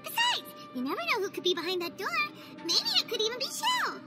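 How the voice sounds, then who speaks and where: A woman voicing a tiny, chirpy floating fairy companion in a video game, with a very high, squeaky voice. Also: wildly swooping pitch, fairly quick, over loud music, full character voice.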